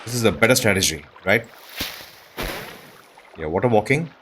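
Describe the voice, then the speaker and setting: A man's voice: on the low side, animated, moderate pace, noisy background. A man giving casual gameplay commentary, talking to himself and the game's characters with awestruck exclamations. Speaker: gaming video host.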